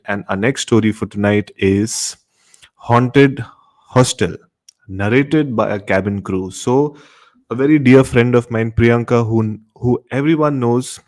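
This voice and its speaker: A man with an Indian accent hosting a live-streamed horror story show, addressing his listeners directly. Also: on the low side.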